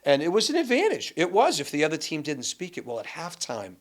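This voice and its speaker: A man from Massachusetts, a guest and former school athletics director, telling animated stories in a casual chat with many restarts. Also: on the high side, a little fast.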